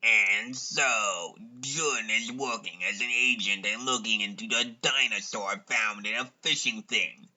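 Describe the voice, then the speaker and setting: A man delivering scripted parody narration in a deadpan way, with rambling asides. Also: lowish voice, lo-fi sound.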